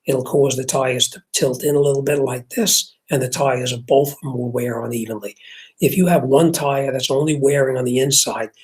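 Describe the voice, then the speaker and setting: Middle-aged New Jersey mechanic, husky voice, loose chatty livestream host. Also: male voice, medium pitch, rough recording. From Jersey City, New Jersey. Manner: conversational, reads chat comments aloud, frequent fillers and restarts. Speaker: host and auto mechanic answering viewer questions. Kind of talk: casual livestream chat.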